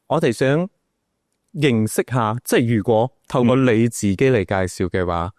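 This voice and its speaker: A man's voice: medium pitch, expressive, moderate pace, darkish tone, dry recording, studio quality. A man with a Malaysian accent hosting a casual, chatty interview. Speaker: podcast host, interviewer.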